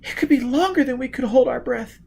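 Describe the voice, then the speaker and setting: A high, thin and breathy young woman, as a male narrator puts her on in an adventure audiobook. She sounds worn out and frightened, with a snappish edge, and sometimes drops toward a whisper. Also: fairly quick, a little mellow, exaggerated voice.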